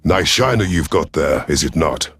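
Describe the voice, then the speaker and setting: A man's voice: extremely low pitch, expressive, moderate pace, noisy background. A man playing a stern, sardonic alien predator commander in an audio drama, with a commanding, growling manner. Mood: cold, mocking.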